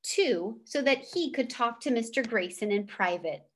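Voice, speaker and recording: A woman's voice: on the high side, expressive, normal speed, slight background noise. A woman reading a children's novel aloud as a storyteller. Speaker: read-aloud reader.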